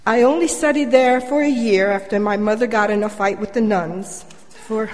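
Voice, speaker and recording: Older lady from colombia sharing her story at church, measured n a bit wry, echoey hall. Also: fairly high pitch. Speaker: church member giving a personal testimony. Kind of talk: prepared personal story read to a congregation. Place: church, addressing congregation through a microphone. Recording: reverberant hall.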